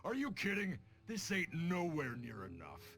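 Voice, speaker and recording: A man playing a cocky, crude bandit boss in a video game, with rough street-thug diction. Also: on the high side, wildly swooping pitch, moderate pace, a little hiss or hum. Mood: scheming. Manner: crude, bossy, swinging into loud shouts. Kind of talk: animated video game acting. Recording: music behind the voice.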